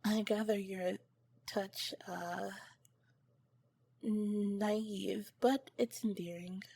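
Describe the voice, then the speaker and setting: An earnest female alien scientist, played by a woman, speaks softly and slowly in an ASMR roleplay. Her breathy voice carries formal, clinical phrasing, broken by shy, flustered hesitation. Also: medium pitch, fairly even intonation, no room sound, heavily acted. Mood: curious, gentle. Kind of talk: ASMR roleplay, soft-spoken to the listener.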